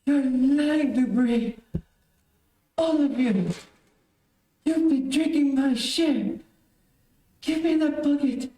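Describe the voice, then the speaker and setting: Radio drama castaway female actor: dying convict, bitter and pleading, slow mellow delivery, roomy distant sound. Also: high-pitched. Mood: bitter, vengeful. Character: dying, parched male convict navigator, bitter and vengeful. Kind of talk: old-time radio mystery acting. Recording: roomy, distant amateur stream audio.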